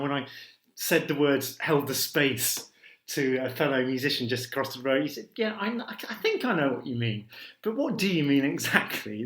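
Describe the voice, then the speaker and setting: A man interviews in a conversational, thoughtful style, his voice at an ordinary pitch with clear room echo around it. He builds his questions carefully, adding reflective asides along the way. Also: a bit bright. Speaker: interviewer and host. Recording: roomy, echoing sound.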